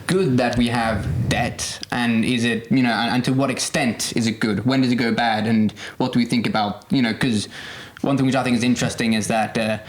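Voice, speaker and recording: Medium-pitched man in casual discussion. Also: fairly even intonation, brisk, few pauses. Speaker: podcast co-host.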